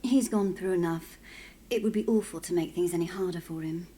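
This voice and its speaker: An actress playing a forthright, compassionate companion in a video game, with a direct, questioning manner. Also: female voice, on the low side, fairly quick, mellow, breathy edge. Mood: curious, direct.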